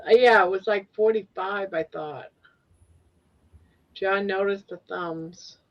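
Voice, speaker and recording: A woman's voice: medium pitch, takes it slowly. A woman in her sixties with a mid-range voice, chatty and playful. The audio is dull and lo-fi, like a muffled call into a stream. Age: 60s. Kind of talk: casual livestream chat.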